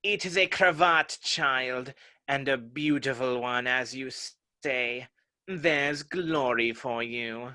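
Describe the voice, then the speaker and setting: A man's voice: mid-range voice, takes it slowly, pushed and bright. A man playing a haughty, touchy, pedantic egg character in a dramatic reading of a children's classic. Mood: pompous, contradicting.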